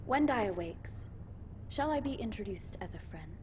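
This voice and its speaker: A woman plays a grave female warrior from a video game, woken after a century. She speaks in measured, old-fashioned, formal sentences at a middling pitch. There is clear ambient noise behind the voice. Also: lively intonation, lightly acted. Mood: tender, hopeful. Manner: formal, archaic, measured phrasing. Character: solemn woman warrior freed from a century sealed away. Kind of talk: video game cutscene dialogue acting.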